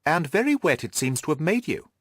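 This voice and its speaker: A man's voice: fairly high pitch, sing-song, fairly quick, a bit bright, dry recording, clean studio sound, pitched above the performer's own voice. A man voicing a confident, amused detective in an audiobook, precise and teasing in his talk. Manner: wry, precise, self-assured. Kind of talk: audiobook character dialogue.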